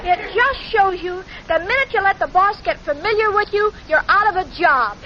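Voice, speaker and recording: A cheerfully clueless young office girl in a vintage radio sitcom, very high and naive. The old recording is dull, with an audience behind her. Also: lively intonation, moderate pace, over a noisy crowd, very noisy. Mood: puzzled, naive. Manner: naive, earnest, dim-witted. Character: sweet, scatterbrained young female secretary, cheerfully oblivious. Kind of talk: 1940s radio comedy acting.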